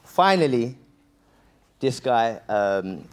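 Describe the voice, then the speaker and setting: A man's voice: mid-range voice, big pitch swings, very slow, clear, resonant tone. A man introducing guests on stage, playful and joking, tripping over names. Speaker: event host. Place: stage before a live audience.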